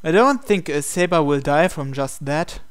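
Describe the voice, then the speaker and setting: A man's voice: on the high side, expressive, moderate pace, clear, resonant tone. A man hosting a gaming channel, reading visual novel narration aloud.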